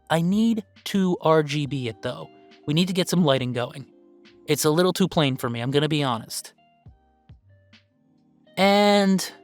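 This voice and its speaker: A woman voicing a young male werewolf streamer, soft-hearted and excitable, talking to viewers in halting, heartfelt, informal chatter in an audio roleplay. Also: low voice, close to an everyday voice. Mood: warm, resolved.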